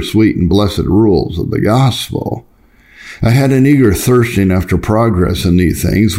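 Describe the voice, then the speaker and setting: A man narrating an old devotional text as a scripted reading, in a measured, earnest and formal manner. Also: fairly low pitch, dark tone. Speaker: audiobook narrator.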